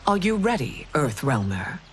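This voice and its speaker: A woman's voice: low-pitched, very animated, measured pace, slight background noise. A woman playing a stern, regal middle-aged empress in a video game, speaking formally and imperiously. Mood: formal, ceremonial. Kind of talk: video game cutscene acting.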